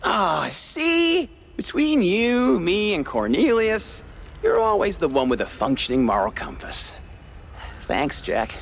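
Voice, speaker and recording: A eccentric, cynical rich mouse with a gravelly, fairly high voice that swoops dramatically. He is theatrical and evasive, a guilty old war buddy from a cartoon noir game. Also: huge pitch swings, slow pace, a little hiss or hum, full character voice. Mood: fond, resigned. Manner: theatrical, hesitant, evasive, sighing. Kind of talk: animated noir game acting.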